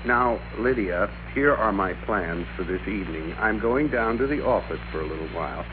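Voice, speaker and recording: An actor playing a meek middle-aged American office worker turned blustering, bossy master, in emphatic classic radio drama style. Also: male voice, lowish voice, expressive, normal speed, natural voice. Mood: smug. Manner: emphatic, theatrical, commanding. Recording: muffled classic radio recording with hum.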